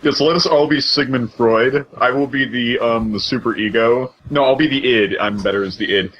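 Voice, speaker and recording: A man of medium pitch co-hosts a casual podcast with wordy, playfully theatrical phrasing. His microphone sounds dull and lo-fi, with a little noise underneath. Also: normal speed. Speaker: podcast co-host reading chat questions.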